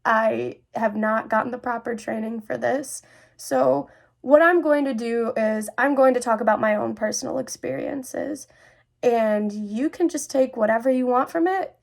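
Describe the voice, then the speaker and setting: Young woman, kinda high voice w heavy fry, earnest and confiding personal talk. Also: a little mellow. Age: young adult. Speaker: host, student sharing personal experiences. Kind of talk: personal talk to camera about mental health.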